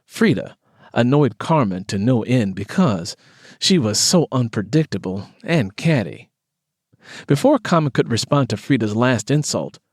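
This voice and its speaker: Husky, expressive male audiobook narrator. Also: medium pitch, no echo, clean studio sound. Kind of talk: scripted fiction narration.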